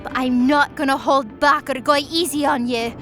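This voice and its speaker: A woman's voice: high voice, fairly even intonation, normal speed, fairly bright tone, faint music behind. A woman voicing a defiant young heroine in an audio drama, speaking in a resolute, challenging way.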